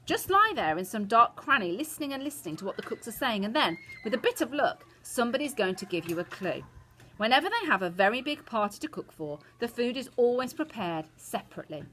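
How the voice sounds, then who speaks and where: A woman voicing an old grandmother in a storybook, patient but firm and affectionate, with measured, emphatic phrasing. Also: fairly high pitch, sing-song, fairly bright tone, a little hiss or hum, voice pushed up. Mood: conspiratorial, admiring. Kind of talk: storybook character voice.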